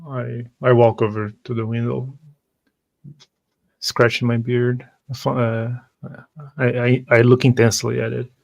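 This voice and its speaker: Halting man, gravelly and even-toned. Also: medium pitch. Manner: halting, with repeated words. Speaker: tabletop roleplay player. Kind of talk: improvised first-person roleplay narration.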